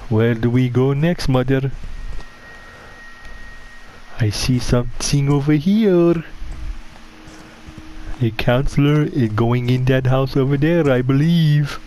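A man's voice: medium pitch, takes it slowly, pauses often, dark tone, a little hiss or hum, lightly acted. A man voicing a bumbling, foul-mouthed killer devoted to his mother, talking in stilted broken English while commenting on his gameplay. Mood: grumbling, narrating. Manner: broken English, dropped articles, stilted phrasing. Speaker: gamer playing a horror game in character.

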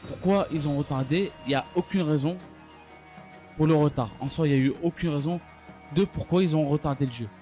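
A man giving an unscripted gaming news recap, speaking haltingly and often stumbling or repeating his phrases. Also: fairly high pitch, warm tone. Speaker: gaming video creator. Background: background music.

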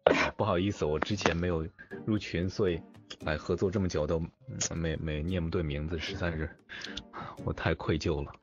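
A man hosting casually, with a hesitant, halting way of speaking. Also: sits low, fairly even intonation. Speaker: interview host. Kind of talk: casual hosting, reading out credits and questions. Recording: dull lo-fi voice chat with music.

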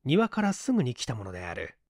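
A man's voice: medium pitch, huge pitch swings, fast, creaky, no room sound, studio quality. A man reading literary fiction aloud as scripted audiobook narration. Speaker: audiobook narrator, stage actor.

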